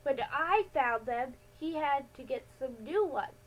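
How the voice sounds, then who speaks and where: An excitable boy detective from a storybook adventure, played by a woman reading aloud. He is high-pitched and bossy, laying things out with slow, confident authority like a young sleuth in command. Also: low-quality recording. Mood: explaining.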